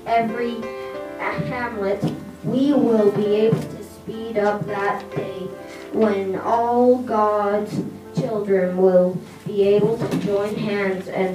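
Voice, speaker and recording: A third-grade child reading a famous speech aloud for a school reader's theatre, halting and deliberate with stumbles. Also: medium pitch. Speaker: student reader. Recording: echoey, distant mic, music behind. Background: music.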